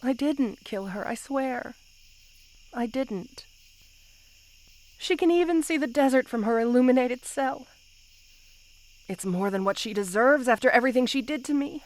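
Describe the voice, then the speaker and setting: A possessive, lovesick lamia queen, acted by a woman, speaks in a fairly high feminine voice. Her delivery is very slow, intimate and tender, full of sighs, in the manner of romantic ASMR roleplay. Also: expressive. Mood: desperate, insistent.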